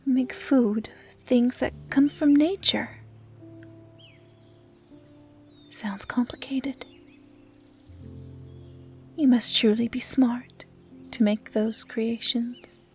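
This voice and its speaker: Woman playing a slow, high, whispery curious dryad. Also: steady tone, faint music behind. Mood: soothing, gentle. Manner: soft, often whispery, naive and measured. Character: curious, gentle female dryad, guardian of the woods. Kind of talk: roleplay audio drama, soft intimate acting.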